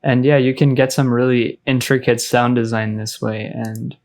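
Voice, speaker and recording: A man narrating a casual software tutorial as a music production tutor, informal and explanatory in manner. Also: medium pitch, level tone, normal speed.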